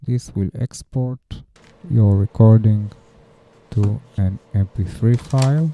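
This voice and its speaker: A man gives a scripted how-to narration at a very slow pace. His voice sits on the low side and has a heavy creak. He moves plainly from one step to the next. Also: warm tone, a little hiss or hum. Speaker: DIY tutorial narrator. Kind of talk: scripted instructional voice-over.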